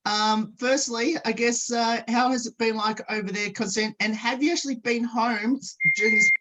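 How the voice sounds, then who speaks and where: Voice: medium pitch, fairly even intonation, normal speed, heavy background noise. Rambling radio sports host. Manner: rambling, keeps repeating "of course" and "obviously". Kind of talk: radio interview hosting.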